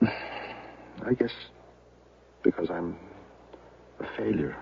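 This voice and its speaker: An actor playing a self-pitying accused man in a radio drama, speaking in a halting, stammering, troubled way. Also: sits low, creaky, breathy edge, exaggerated voice. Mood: dejected, ashamed. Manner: halting, stammering, agitated then dejected. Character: defeated, self-pitying accused man, a failed small-town bookseller. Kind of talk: 1970s radio drama acting. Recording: tinny, band-limited old radio recording.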